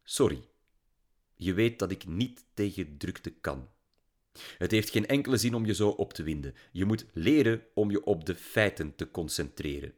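Man doing a measured, soothing dad. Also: low voice, lively intonation, darkish tone. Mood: calm. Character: calm fussy middle-aged father, analytical. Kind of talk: audiobook character voice.

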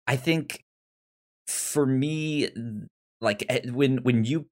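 A man's voice: mid-range voice, a little mellow. Stuttering man in casual film chat. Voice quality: stutters, repeats sounds and words. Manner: halting, hesitant delivery. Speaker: film podcast co-host.

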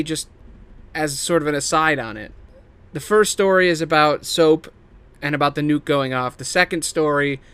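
A man hosting solo in a casual, unscripted ramble, swearing freely and leaning excitedly on his words. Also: medium pitch. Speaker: host.

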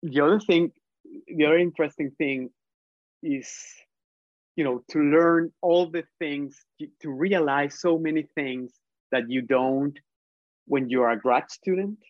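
Brief: male Colombian academic, Spanish-accented English, measured and halting, slightly muffled and dead-sounding audio. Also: fairly high pitch, dry recording. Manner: thoughtful, hesitant, many restarts and fillers. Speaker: professor, guest. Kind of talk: conversational interview answers. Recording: dull lo-fi dry sound.

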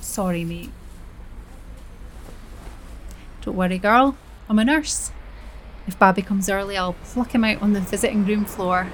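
A woman's voice: medium pitch, expressive, measured pace, a little hiss or hum, close to an everyday voice. A woman with a Scottish accent playing a harried, blunt nurse who talks through her thoughts in a brusque, chatty way. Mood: brash. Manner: brusque, chatty inner monologue. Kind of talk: audio drama acting.

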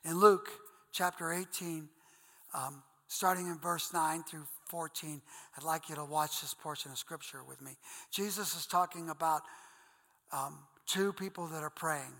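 A pastor with an Ohio accent delivers a sermon in a bright, ringing voice. He talks to the congregation in a friendly, earnest way. His voice carries with a long reverb, as in a church hall. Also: on the high side, steady tone, unhurried. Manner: earnest, conversational preaching, addresses listeners as guys.

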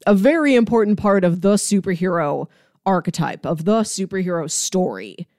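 A woman's voice: fairly low pitch, lively intonation, moderate pace, darkish tone, no echo, clean studio sound. A woman co-hosting a podcast, discussing films in a casual, analytical, conversational way with asides. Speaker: podcast co-host debating films.

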